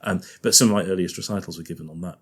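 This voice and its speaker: A man's voice: low-pitched, steady tone, very fast, vocal fry, husky. A man with a Liverpool accent, a guest organist chatting casually on a podcast, often laughing and thinking aloud.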